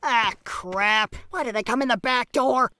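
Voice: mid-range voice, unhurried, pushed and bright, a little hiss or hum. An actor voicing a sardonic, exasperated teenage gamer boy in an anime parody dub, sarcastic and melodramatic. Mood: smug sarcasm.

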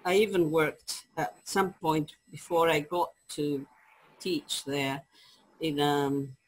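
Chilean woman, 50s, Spanish accent; slow, hesitant interview guest on a video call. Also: sits low, fairly even intonation, rough recording. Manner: hesitant, many ums and restarts, laughs easily. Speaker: guest, publisher. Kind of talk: conversational interview. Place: home study with bookshelves.

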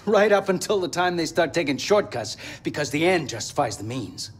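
An actor playing a gruff, cynical middle-aged militia leader in a game, grudging and preachy in manner. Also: male voice, on the high side, big pitch swings, normal speed. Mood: bitter conviction.

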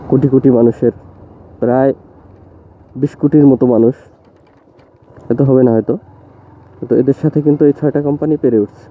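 A young man, talking casually to camera while riding a motorbike on rural roads, loose and opinionated. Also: mid-range voice. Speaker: motovlogger. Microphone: phone mounted on motorbike. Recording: thin phone-like sound, faint road noise.

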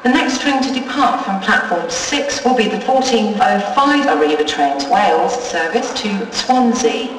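A woman with a British accent making formal, measured station announcements, phrase by phrase. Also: medium pitch, expressive, distant mic, a little hiss or hum, rough recording. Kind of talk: recorded public announcements.

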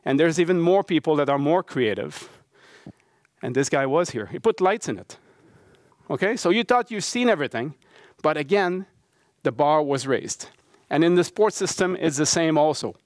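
A man's voice: a little high, expressive, no room sound, studio quality. French-accented man giving a conference talk. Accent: Québécois French. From Quebec City, Canada. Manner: halting, many fillers and restarts, earnest and conversational. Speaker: conference speaker, baseball coach and professor. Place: university lecture hall.

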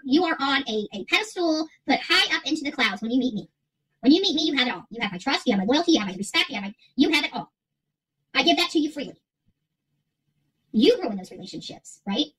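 Middle-aged woman, high-pitched voice, rapid talker, casual livestream host, emphatic and repetitive. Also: animated, a bit bright, rough recording. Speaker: livestream creator and channel host. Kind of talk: casual livestream chat to viewers. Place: small furnished room at home, indoors.

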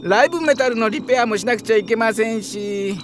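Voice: mid-range voice, brisk, slight background noise, close to an everyday voice. An actor playing a helpful, fussy robot uncle in a Japanese audio drama, speaking formally and courteously. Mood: patient. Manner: courteous, formal, mildly flustered. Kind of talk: Japanese anime-style audio drama acting.

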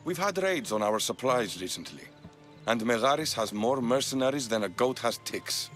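A male actor plays a stern, suspicious Spartan army officer in a game cutscene. He talks in a clipped, commanding way at a middle pitch, with faint music underneath. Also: lively intonation, moderate pace, fairly bright tone, close to an everyday voice. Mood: stern, terse. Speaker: video game character. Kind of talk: video game cutscene acting. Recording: game dialogue over background music.

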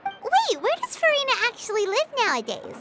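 Game sidekick: cheeky little fairy girl, extremely high, bouncy melody, music behind. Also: wildly swooping pitch, normal speed, exaggerated voice. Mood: perky. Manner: speaks of herself in third person, cheeky. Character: small childlike floating fairy girl, perky, blunt. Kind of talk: video game character acting.

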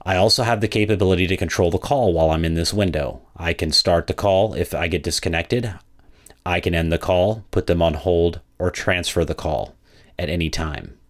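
Low-pitched man presenting a software demo. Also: moderate pace. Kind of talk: product walkthrough narration.

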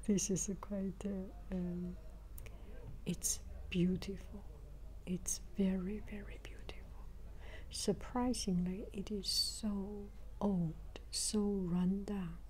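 An older woman giving a reflective travel vlog narration, haltingly, repeating phrases as she searches for words, in a breathy, whispery voice. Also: medium pitch, little pitch movement, darkish tone, slight background noise. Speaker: travel vlogger.

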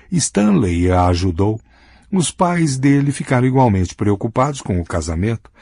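A man with a husky voice reading a novel aloud as an audiobook narrator, giving characters small voice shifts in dialogue. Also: on the low side, darkish tone, dry recording, clean studio sound. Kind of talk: scripted narration.

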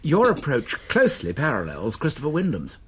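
An actor playing a precise, scholarly college librarian in a radio drama. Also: male voice, on the high side, huge pitch swings, fairly quick, noisy background. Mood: informative. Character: Crispin, fussy male college librarian.

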